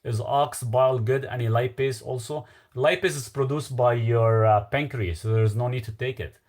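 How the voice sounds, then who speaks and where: A man's voice: mid-range voice, fairly even intonation, moderate pace, a little mellow. Lebanese-accented guy doing a laid-back live q&a, rambly, lots of uh and um. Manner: loose and rambling, trailing off with fillers. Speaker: livestream host answering viewer questions. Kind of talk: casual live Q&A, reading out chat questions.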